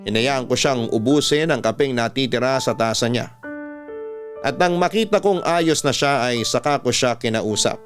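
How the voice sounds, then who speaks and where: A man with a Filipino accent narrating a first-person story as a radio host, in a dramatic storytelling style. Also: mid-range voice. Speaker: radio host reading a listener's letter. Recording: music bed under the voice.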